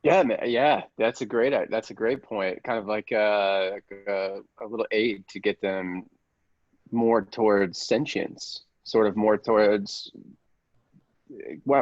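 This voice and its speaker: A man's voice: lowish voice, no room sound, lightly acted. A man playing a hesitant, agreeable app developer in an improvised comedy, with a dull sound. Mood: agreeable, musing. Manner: halting, agreeable.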